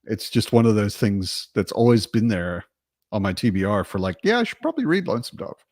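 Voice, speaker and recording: A man's voice: on the low side, big pitch swings, brisk, darkish tone, no room sound, studio quality. A man casually discussing books in a reflective, somewhat hesitant way. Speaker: book discussion participant.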